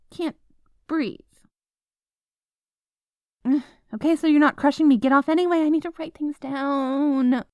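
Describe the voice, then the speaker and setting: A woman acts out a stubborn, overtired writer girlfriend in an ASMR roleplay. Her voice is high and unhurried, recorded close and dry. She rambles and protests with dramatic indignation. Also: no echo, highs cut off.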